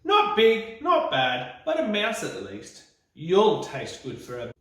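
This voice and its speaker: A man's voice: high voice, wildly swooping pitch, takes it slowly, a bit bright, pitched above the performer's own voice, heavily acted. A man voicing a curious, brave young female monster child in a children's storybook read-aloud, eager and exclaiming. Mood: defiant. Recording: echoey room, distant mic.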